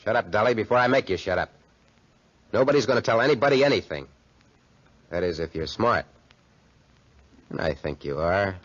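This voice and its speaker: An actor in a 1940s radio drama playing a brash, smooth-talking young schemer with slangy, cocky tough-guy talk. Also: on the low side, animated, normal speed, pauses often. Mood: cold, threatening. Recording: muffled 1940s radio recording.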